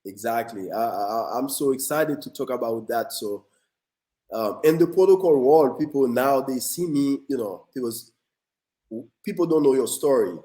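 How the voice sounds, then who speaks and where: A man's voice: mid-range voice, animated, moderate pace, dark tone. A man from Haiti with a Haitian accent, a guest on an interview show earnestly telling his life story, often repeating words as he goes. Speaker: guest, motivational speaker. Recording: echoey room.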